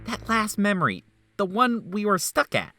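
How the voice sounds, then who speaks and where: A man's voice: moderate pace, exaggerated voice. A man voicing a sarcastic, blunt male doctor in a fan dub of a game. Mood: excited. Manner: sardonic and blunt, lively swings in pitch. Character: sarcastic, scheming male memory-doctor, middle-aged. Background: faint music.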